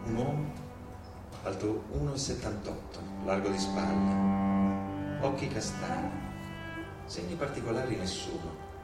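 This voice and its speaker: A man giving a hushed, grave and measured dramatic reading as a stage narrator. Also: deep voice, expressive. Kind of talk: slow theatrical dramatic reading. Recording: echoey hall, distant, music behind.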